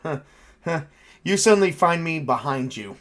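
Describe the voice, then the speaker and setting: A man's voice: a little high, animated, moderate pace, a bit bright. A man acting as game master, narrating a tabletop game casually and dramatizing events in informal words.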